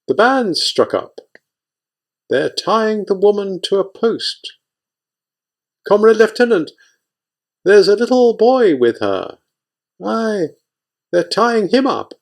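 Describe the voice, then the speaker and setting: A man's voice: high voice, pitched above the performer's own voice, full character voice. A man voicing a cunning yet simple-hearted young male soldier who reports what he sees in a sing-song voice.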